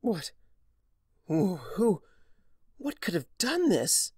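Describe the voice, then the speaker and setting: A man's voice: sits high, huge pitch swings, extremely slow, darkish tone, husky, no room sound, studio quality, voice pushed up, full character voice. A man voicing an anxious young female unicorn in a storybook reading, gasping and incredulous. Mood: horrified.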